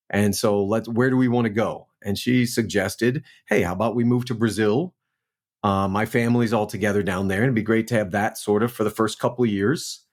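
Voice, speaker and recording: A man in his forties from New Orleans, a guest actor telling his career story in a relaxed, chatty way on a remote call. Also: lowish voice. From New Orleans, Louisiana. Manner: relaxed, storytelling, frequent "man" and "you know". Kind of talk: casual interview chat.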